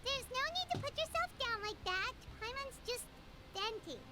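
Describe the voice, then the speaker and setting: A woman voicing a small, cheeky, childlike fairy companion in a video game, squeaky and chatty, speaking of herself in the third person. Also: big pitch swings, moderate pace, very noisy. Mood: hesitant, reassuring. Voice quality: squeaky, shrill.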